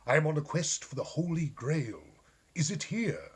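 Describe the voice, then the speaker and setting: A man's voice: a little high, expressive, normal speed, slightly rough. A man voicing an earnest, noble young knight in a storybook reading, speaking in a formal, grandiose and solemn way. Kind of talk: storybook character dialogue.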